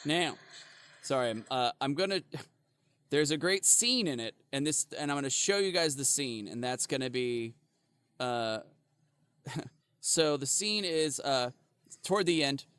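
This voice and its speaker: A man's voice: medium pitch, lively intonation, unhurried, long pauses, fairly bright tone, smooth, no echo. A man co-hosting a podcast in casual comedic chat, rambling and profane with lots of fillers and laughter. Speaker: podcast co-host.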